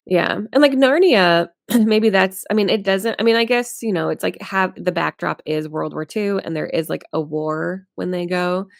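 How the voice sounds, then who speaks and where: A woman with a fairly low, noticeably creaky voice talks casually as a podcast co-host. She is informal and giggly, leaning on filler words, and the sound is close and dry. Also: normal speed, few pauses, no room sound. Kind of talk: casual chat.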